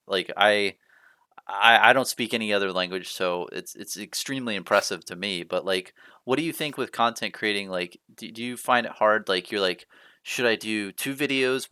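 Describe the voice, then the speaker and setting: Casual talk host guy, lowish voice, bright tone, says "you know" a lot, dry sound. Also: clear, resonant tone, no room sound. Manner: chatty, many fillers like "you know". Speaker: podcast host. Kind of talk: casual chat.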